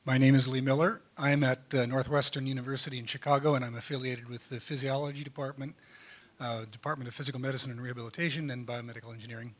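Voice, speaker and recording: A man introducing himself on a panel in a brisk, orderly way. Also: medium pitch, steady tone. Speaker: panelist, researcher.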